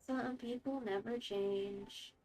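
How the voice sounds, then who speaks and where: A woman streaming a game, reacting casually in a quiet, affectionate manner. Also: fairly high pitch, fairly even intonation, slow pace, poor audio.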